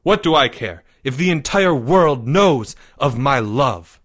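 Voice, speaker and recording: A man's voice: mid-range voice, expressive, slow, a bit bright. A man voicing a lovestruck young boy in a storybook, theatrical and pompous. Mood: impassioned.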